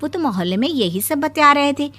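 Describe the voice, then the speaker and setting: A woman's voice: high-pitched, animated, normal speed, sharp tone. A woman voicing a gossipy middle-aged neighbour who needles others in a comic cartoon story. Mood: apologetic, gossipy. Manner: teasing, gossipy.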